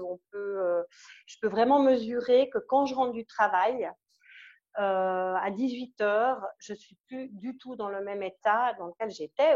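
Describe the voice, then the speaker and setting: A woman from Switzerland with a Swiss French accent, giving an enthusiastic, halting testimonial full of fillers and restarts. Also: medium pitch, a little mellow, highs cut off. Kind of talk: casual testimonial interview.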